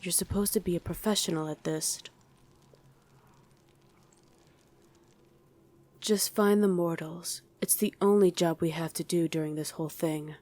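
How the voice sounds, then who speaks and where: A woman's voice: on the low side, steady tone, a little fast, dark tone, close to an everyday voice. A woman playing a wry, easily irritated demon in a conversational ASMR roleplay, chatting and bickering with a partner. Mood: wry, defensive. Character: female demon on mortal-hunting duty, wry, easily irritated. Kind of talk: ASMR roleplay acting to an unheard listener.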